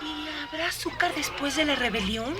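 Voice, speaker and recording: A woman's voice: high voice, lively intonation, normal speed, music in the background. A woman voicing a vain, naive young mare in an audio drama, with a timid, girlish, hesitant manner. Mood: timid, wistful.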